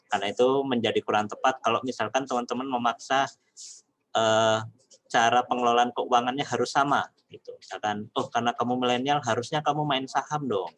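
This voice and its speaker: A man's voice: medium pitch, steady tone, fairly quick, a bit bright. A man presenting a finance talk on a webinar, explaining patiently and filling with "nah". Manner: explanatory, addresses audience as friends, many "nah" fillers. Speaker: webinar speaker on personal finance.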